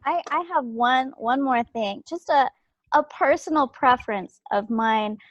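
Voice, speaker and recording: Woman in an online group chat. Higher-pitched voice, casual, dry but slightly muffled call audio. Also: unhurried, no room sound. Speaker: participant giving script feedback. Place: indoors on a video call. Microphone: video call microphone.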